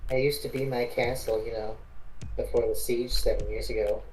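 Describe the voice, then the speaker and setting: An amateur acting out a princess burdened by a kingdom at war. The voice is dark and relaxed at a middling pitch, earnest but halting. Music plays behind it. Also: natural voice, rough recording. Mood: worried. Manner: hesitant, stumbling over lines.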